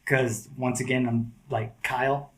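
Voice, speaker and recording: A man's voice: medium pitch, unhurried, slight background noise. A man who is a guest skateboarder, talking casually and loosely on a podcast. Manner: relaxed, slangy, lots of "like" and "dude".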